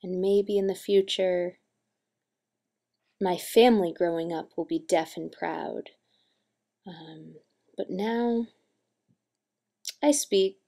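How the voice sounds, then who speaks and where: A woman playing an earnest, wistful young deaf signer in a dramatic monologue, speaking in halting, deliberate phrases. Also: on the low side, creaky, mellow. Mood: tentatively hopeful.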